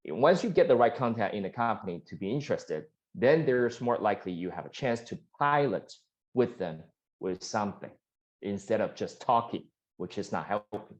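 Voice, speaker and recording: A man from Taiwan, a startup founder answering investor questions earnestly, with frequent restarts and fillers. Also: normal speed. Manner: earnest, many restarts and fillers, rises in pitch when animated. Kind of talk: pitch Q&A answers. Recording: dull lo-fi sound.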